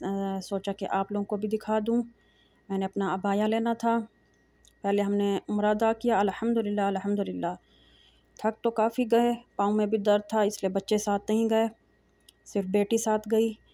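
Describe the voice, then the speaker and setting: A middle-aged woman, narrating a casual shopping vlog in a calm, soft-spoken way with frequent hesitations. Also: medium pitch, no room sound, studio quality. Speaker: vlogger. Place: large indoor shopping mall. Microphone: phone camera held while walking.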